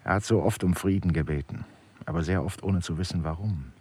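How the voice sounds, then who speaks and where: An actor playing a grave, brooding male narrator in a literary radio play, speaking with measured intensity and a husky voice. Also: sits low, a little mellow.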